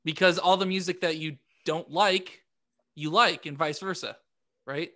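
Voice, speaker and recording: A man with a fairly high voice in casual podcast chat. He talks hesitantly, circling his point and starting it over. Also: fairly even intonation, unhurried, bright, forceful tone. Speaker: podcast co-host. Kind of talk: casual group banter.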